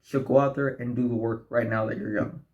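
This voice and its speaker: A man's voice: mid-range voice, fairly even intonation, brisk, highs cut off. A young man of eighteen giving blunt, profane self-improvement advice straight to camera, often calling the viewers guys and asking right. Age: young adult. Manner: blunt, profane, preachy motivational talk. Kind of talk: direct-to-camera advice vlog.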